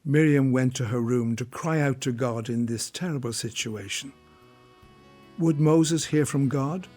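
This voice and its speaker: A man narrates a scripted story in a dramatic style. His voice sits in the middle range, with soft music underneath. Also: medium pitch, measured pace. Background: faint music.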